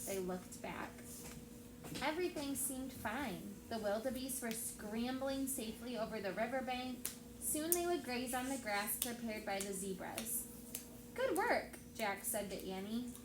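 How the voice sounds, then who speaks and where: A woman with a fairly high voice reads aloud like a teacher telling a story to children. Her delivery is expressive. The room echoes noticeably, with clear noise behind her. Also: a little slow. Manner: expressive, acts out dialogue.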